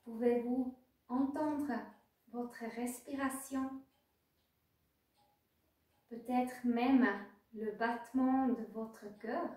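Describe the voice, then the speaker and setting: Very slow, high-voiced woman guiding meditation. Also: fairly even intonation, warm tone. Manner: slow, pausing instructions in short phrases. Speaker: yoga nidra teacher. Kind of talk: guided meditation. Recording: very echoey room, distant microphone.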